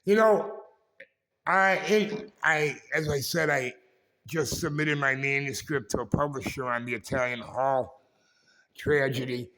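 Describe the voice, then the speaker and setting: A man hosting a casual vlog with a raspy voice, talking in a halting, rambling way and correcting himself as he goes. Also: mid-range voice, fairly bright tone. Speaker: vlog host. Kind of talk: casual walking-tour vlog. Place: indoors in a historic building.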